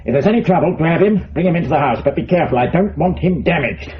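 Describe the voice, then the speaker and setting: Man acting brusque British spymaster, animated delivery. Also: a little high, quick pace, lightly acted. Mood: commanding. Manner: curt, brusque, exasperated. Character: irritable, commanding male British intelligence chief running an operation. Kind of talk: Cold War radio drama. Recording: muffled, faintly noisy radio drama audio.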